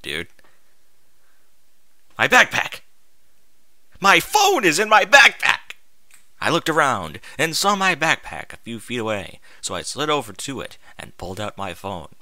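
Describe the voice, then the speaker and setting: A man reading a first-person story aloud in an expressive, informal way, as if acting out the narrator's thoughts. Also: mid-range voice, no room sound. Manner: expressive, informal, sweary interjections. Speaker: fan fiction reader.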